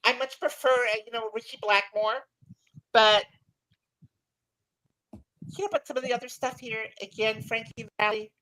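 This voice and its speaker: A hoarse woman who is a guest on a music podcast, talking in an animated, halting way. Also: on the high side, rough recording.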